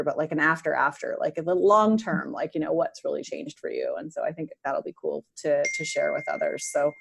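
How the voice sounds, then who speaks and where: A woman's voice: low-pitched, vocal fry. A woman hosting a podcast, chatting casually with her guests on a video call and often filling her talk with "like.". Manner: warm-hearted questions, many "like" fillers. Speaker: podcast host. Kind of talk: casual interview conversation.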